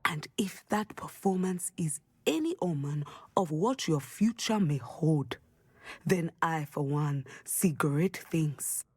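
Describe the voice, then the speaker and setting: An actress playing a serene, portentous divination professor in a fantasy game, speaking in a measured, formal, mystical manner. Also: female voice, low-pitched, animated, a little mellow, husky, dry recording, heavily acted. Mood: serene, approving. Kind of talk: video game character dialogue.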